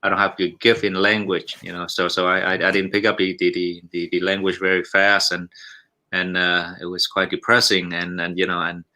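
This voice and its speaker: A Vietnamese-born man in his forties, a restaurant owner, talking in a halting, polite way with many restarts and repeated "yes, sir". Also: deep voice, level tone, sharp tone, poor audio. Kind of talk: casual interview chat.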